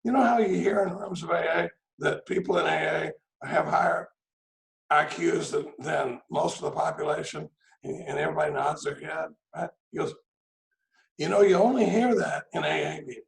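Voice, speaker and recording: A man's voice: on the high side, moderate pace, gravelly, reverberant, low-quality recording. A man, sharing his story at a recovery meeting in a rambling, joking way. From Dallas, Texas. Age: 60s. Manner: joking, rambling, frequent "I mean" and "you know". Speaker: AA speaker. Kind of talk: storytelling speaker-meeting share. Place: home room on a video call.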